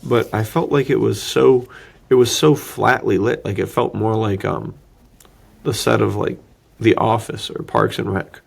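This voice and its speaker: Gravelly low-voiced man, husky and informal. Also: fairly even intonation, unhurried. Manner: informal, joking, prone to chuckling. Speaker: podcast co-host. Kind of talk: casual film discussion.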